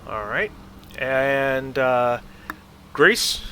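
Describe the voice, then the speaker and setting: A man with a bright, forward voice at a medium pitch runs a tabletop game as game master. He explains things casually and encouragingly, with faint noise on the recording. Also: extremely slow.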